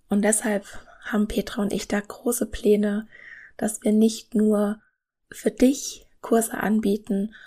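A woman's voice: medium pitch, a little mellow, breathy edge. A woman in her thirties hosting a solo podcast, talking earnestly and enthusiastically straight to the listener. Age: 30s. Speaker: podcast host.

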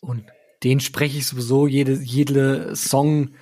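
A man's voice: mid-range voice, flat delivery, slow, warm tone. A man chatting casually about music as a podcast co-host, blunt, slangy and rambling.

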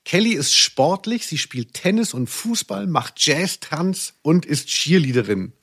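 A man's voice: fairly high pitch, sing-song, measured pace, a bit bright, husky. A man co-hosting a podcast, chatting casually about audio dramas in a playful, mock-serious way. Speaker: podcast co-host.

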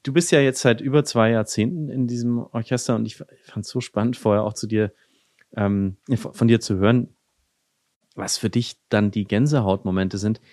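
A man's voice: fairly low pitch, fairly even intonation, moderate pace, a little mellow, dry recording, studio quality. A man hosting an interview, asking curious, probing questions with some hesitation. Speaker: podcast interviewer. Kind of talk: casual conversational interview.

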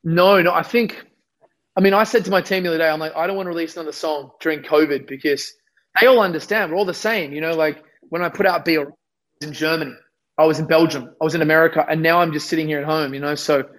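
A young man talks through an interview in a fairly high voice that sounds a little worn from losing it. He rambles with lots of restarts and pokes fun at himself. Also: steady tone, fast talker, a bit bright, low-quality recording. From Australia. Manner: rambling, self-deprecating, many restarts. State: losing his voice. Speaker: musician guest. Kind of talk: casual interview chat.

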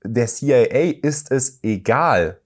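A man co-hosting a podcast, speaking in an earnest, measured way and slowing down on key phrases. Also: medium pitch, animated. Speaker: podcast co-host. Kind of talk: casual conversational podcast.

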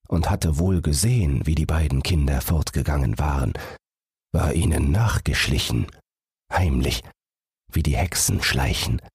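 A man's voice: rumbling low, a little mellow, slightly rough, no room sound, studio quality. A man narrating a bedtime fairy tale from a script as an audiobook narrator.

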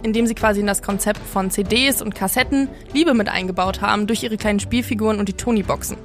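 A woman's voice: medium pitch, steady tone, quick pace, faint music behind. A woman co-hosting a podcast, giving prepared commentary in an orderly, explanatory manner. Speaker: podcast co-host.

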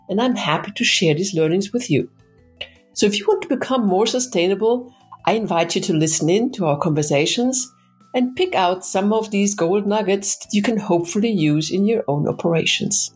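A woman hosting a podcast interview, articulate and enthusiastic, a little halting when asking questions. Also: mid-range voice, darkish tone. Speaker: podcast host.

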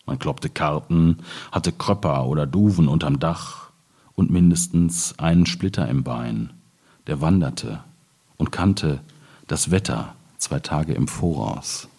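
A man from the Ruhr area in Germany reading his own poems in measured phrases. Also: a bass voice, warm tone, husky. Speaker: poet reading own work.

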